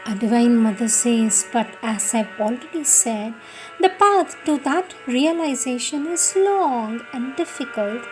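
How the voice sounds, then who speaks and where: A woman with a fairly high voice reads spiritual text very slowly. Her delivery is solemn and deliberate, shaped as a devotional reading. Soft music plays behind her. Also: animated, poor audio. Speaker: reader of spiritual texts.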